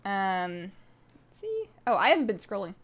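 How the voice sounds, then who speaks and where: A woman in her twenties chatting casually on a live game stream, rambling along in a bubbly, animated and giggly way. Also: medium pitch, normal speed. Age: 20s. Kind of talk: casual live stream chat while gaming.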